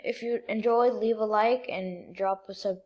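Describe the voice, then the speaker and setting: Halting, informal tutorial voice, female-sounding. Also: mid-range voice, fairly even intonation, muffled recording. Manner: informal, halting step-by-step instructions. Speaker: video creator giving a tutorial. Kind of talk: casual software tutorial.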